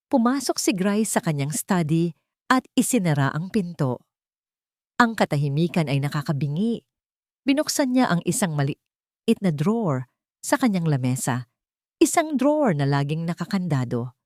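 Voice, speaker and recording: A woman narrating a scripted romantic drama story in a measured, deliberate way with dramatic pauses. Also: lowish voice, a little mellow, dry recording, studio quality. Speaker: narrator of romance audio stories.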